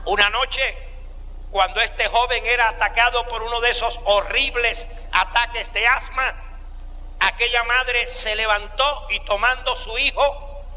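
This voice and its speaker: A man with a Cuban accent giving a slow, deliberate sermon testimony with dramatic pauses. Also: very high voice, pushed and bright, big-room sound, rough recording. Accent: Cuban Spanish. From Cuba.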